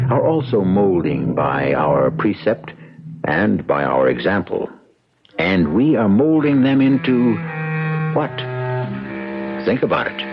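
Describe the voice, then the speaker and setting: Guy hosting old radio mystery show, low theatrical voice, music behind, muffled. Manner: theatrical, measured phrasing. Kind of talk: dramatic scripted radio narration. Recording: dull old radio recording.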